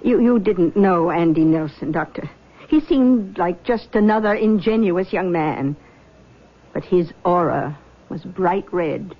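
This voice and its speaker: A woman's voice: fairly low pitch, lively intonation, measured pace. An actress playing a controlling middle-aged mother who believes in auras, in a 1970s radio drama. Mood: earnest. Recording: dull old radio broadcast.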